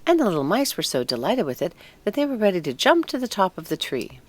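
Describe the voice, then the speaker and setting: A woman narrating a scripted storybook tale in an expressive, deliberate storytelling manner. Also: medium pitch. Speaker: audiobook narrator.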